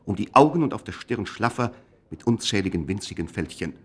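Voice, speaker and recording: A man narrating a scripted radio play in a measured, literary manner. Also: on the low side, lively intonation, a bit bright, breathy edge.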